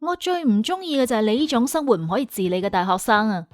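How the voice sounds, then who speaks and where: A woman's voice: on the high side, big pitch swings, fast, no echo, studio quality, faint music behind. A woman playing a haughty, scathing female job interviewer in an audio drama, lecturing with cutting contempt. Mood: scornful. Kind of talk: Cantonese audio drama acting.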